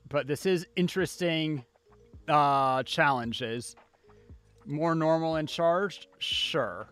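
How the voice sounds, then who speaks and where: A man's voice: a little high, fairly bright tone. A man giving casual live commentary as he plays a video game, talking half to himself as a streamer. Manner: casual, muttering self-talk while playing.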